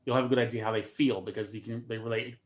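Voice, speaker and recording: Comedian guy on a video call, talks fast, keeps repeating words as he starts. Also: medium pitch, steady tone. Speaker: comedian guest. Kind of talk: casual chat on video call.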